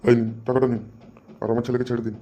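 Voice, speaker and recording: A man's voice: medium pitch, flat delivery, rapid-fire, mellow, close to an everyday voice. A man voicing a grumpy, stingy, foul-mouthed father in an amateur comedy cartoon, scolding. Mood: exasperated. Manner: scolding, cursing.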